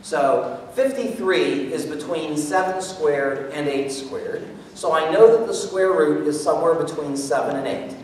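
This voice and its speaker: A middle-aged man performing mental math live on stage, talking through his working out loud like an animated showman. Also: on the high side. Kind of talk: live stage show explaining calculations aloud. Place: indoor theater stage. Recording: echoey hall, far from mic, noisy.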